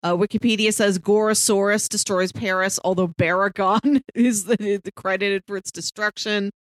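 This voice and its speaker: A woman's voice: medium pitch, fairly even intonation, moderate pace, no echo. A woman chatting casually about films as a podcast co-host, playful and wry. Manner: playful, wry, often chuckling as speaking. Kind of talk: casual film discussion chat.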